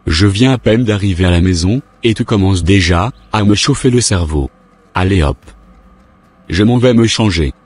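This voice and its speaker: A curt, secretive husband. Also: male voice, deep voice, expressive, moderate pace, darkish tone, slightly rough. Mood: irritated. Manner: brusque, dismissive.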